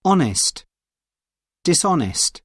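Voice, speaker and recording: Man, slow and deliberate, dry recording, reads single vocabulary words as a pronunciation model. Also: on the high side, sing-song, a bit bright, slightly rough, no room sound. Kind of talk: isolated word pairs read for learners.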